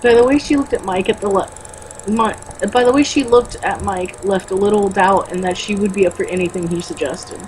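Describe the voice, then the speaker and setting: A woman's voice: mid-range voice, brisk, slight background noise, rough recording. A woman reading a novel aloud as an amateur, tripping over words now and then and breaking off for blunt, mocking, casual commentary. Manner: stumbles over words when reading, blunt and sweary in asides.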